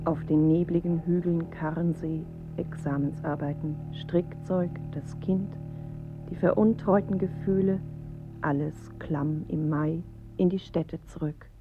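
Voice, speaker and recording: A woman performing a pensive narrator in a poetic radio drama, speaking in measured, deliberate fragments on a muffled 1980s recording. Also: lowish voice. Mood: wistful, detached. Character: pensive female narrator of a dreamlike inner journey.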